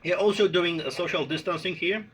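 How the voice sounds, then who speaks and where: A man with a Polish accent chatting casually as an amateur radio operator, speaking haltingly and searching for words. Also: high voice, fairly bright tone, slightly rough. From Poland. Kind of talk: casual radio contact chat. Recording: noisy unplanned handheld phone recording.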